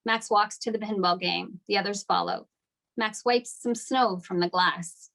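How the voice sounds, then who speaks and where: A woman's voice: medium pitch, measured pace, gravelly, fairly bright tone. A woman narrating scripted stage directions at a table read of a screenplay.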